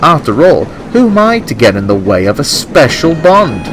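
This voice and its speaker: A man's voice: fairly high pitch, big pitch swings, moderate pace, background noise, voice pushed up. A man voicing a kind-hearted, eager steam engine in a children's storybook. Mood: cheerful. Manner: eager, well-meaning.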